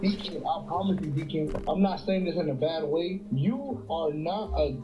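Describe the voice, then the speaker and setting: A man's voice: on the high side, normal speed. A man talking earnestly on a livestream, peppering nearly every line with "bro". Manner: earnest, says "bro" constantly. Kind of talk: casual livestream talk. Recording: lo-fi livestream audio.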